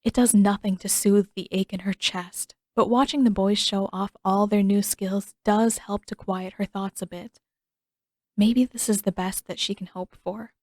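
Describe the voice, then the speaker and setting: A woman reading story narration in a measured, expressive storytelling manner. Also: mid-range voice, dry recording, studio quality. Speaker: fan fiction narrator.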